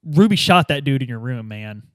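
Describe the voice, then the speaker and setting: A man's voice: medium pitch, lively intonation, normal speed, no echo, clean studio sound, voice pushed down, lightly acted. A man playing a dogged, exasperated police detective in a tabletop game, blunt, insistent and informal.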